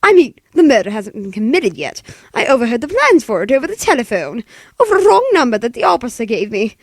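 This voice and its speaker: A man's voice: extremely high pitch, big pitch swings, fast, dry recording, exaggerated voice. A man voicing a demanding, hysterical wealthy invalid woman in an old radio play, imperious and exasperated. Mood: anxious urgent. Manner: imperious, exasperated, breathless urgency. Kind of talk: 1940s radio play acting.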